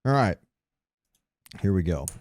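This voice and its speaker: A man's voice: low voice, big pitch swings, unhurried, darkish tone, dry recording. A man co-hosting a gaming podcast in casual, rambling banter, often thinking aloud as he talks. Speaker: podcast co-host.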